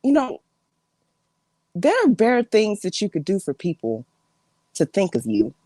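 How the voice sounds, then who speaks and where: Woman acting as exasperated family member, accusing, melodramatic monologue, big pitch swings, close dry sound. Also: very animated, unhurried, dark tone, no echo. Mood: pleading. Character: fed-up woman confronting an attention-seeking dramatic relative.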